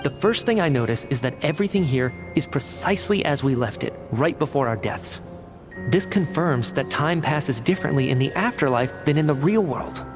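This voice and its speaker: A man's voice: on the high side, animated, normal speed, close to an everyday voice. Analytical young-sounding male researcher, voiced by an actor, over music. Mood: thoughtful. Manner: articulate, inquisitive, methodical. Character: earnest, analytical male researcher reasoning things out. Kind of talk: video game dialogue acting. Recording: studio voice under clear game music. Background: background music.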